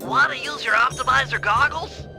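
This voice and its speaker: A man voicing a nerdy, excitable strategist sidekick in a cartoon video game, precise and nasal. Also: very high voice, normal speed, exaggerated voice. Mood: focused, helpful. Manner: precise, fussy, technical. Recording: loud music behind the voice.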